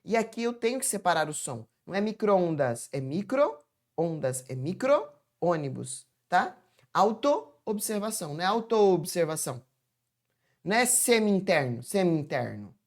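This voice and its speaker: A woman teaching a chatty, informal grammar lesson from home, stretching words out slowly to demonstrate how they sound. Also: on the low side, big pitch swings, a little mellow. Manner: chatty, addresses viewers as "gente". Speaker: Portuguese teacher.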